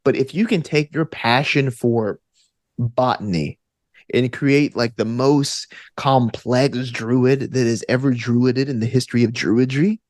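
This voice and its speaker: A man's voice: medium pitch, unhurried, darkish tone, dry recording, clean studio sound. A man from the American South chatting informally and enthusiastically as an interview guest, often saying "like" and "you know". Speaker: podcast guest, actor and gamer. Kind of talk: casual interview chat.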